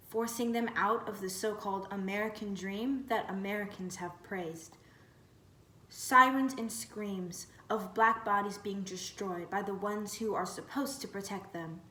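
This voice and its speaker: A woman with a mid-pitched voice performs spoken word poetry. Her delivery is rhythmic and measured, and the ends of her phrases trail off. She is recorded at a distance from the microphone in a reverberant room. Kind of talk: slam poetry recitation of a prepared piece. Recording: roomy, distant mic with faint noise.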